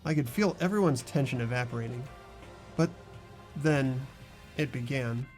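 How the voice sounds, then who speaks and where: A man's voice: mid-range voice, normal speed, darkish tone, soft background music, close to an everyday voice. A man playing an ordinary, earnest and uneasy teenage schoolboy narrating a fan-voiced game. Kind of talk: amateur voiced visual novel narration and dialogue.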